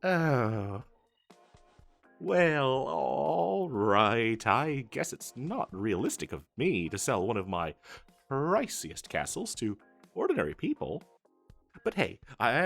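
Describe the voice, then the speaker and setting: Guy doing a pushy fantasy wizard salesman, kinda husky, stammery and chatty, bit of music behind him. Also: medium pitch, huge pitch swings, slow pace, heavily acted. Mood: flustered, reluctant. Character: eager, pushy wizard salesman hawking magical castles. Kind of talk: scripted comedic fantasy roleplay.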